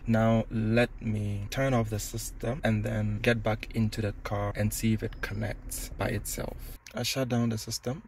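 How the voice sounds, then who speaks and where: A man's voice: on the low side, vocal fry, a little mellow, a little hiss or hum. A man giving a casual hands-on product demo as a tech reviewer, speaking inside a car.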